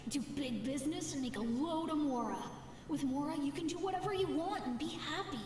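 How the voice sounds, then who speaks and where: A woman's voice: sits high, a little fast, darkish tone, natural voice. A woman voicing a blunt, practical young child in a video game flashback. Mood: confident. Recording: echoey flashback effect, distant, noisy.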